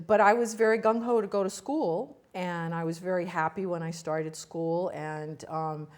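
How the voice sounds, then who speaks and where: Older woman recalling childhood, halting. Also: low voice. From United States. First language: Ukrainian. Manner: halting, many fillers and restarts, laughs at herself. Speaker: interview guest. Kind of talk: reflective personal storytelling.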